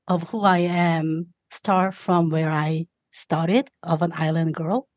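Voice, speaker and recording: A woman from South Korea with a Korean accent, a podcast guest telling her story in a thoughtful, measured way. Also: lowish voice, darkish tone, no room sound, dull audio. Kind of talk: reflective interview talk.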